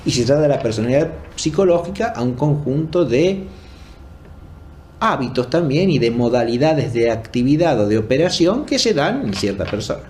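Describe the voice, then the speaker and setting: Guy doing a philosophy lecture, mid-pitched voice, formal and teachy, bit of background noise. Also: sing-song, moderate pace, darkish tone. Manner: didactic, frequently checks with "¿no?". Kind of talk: unscripted academic lecture.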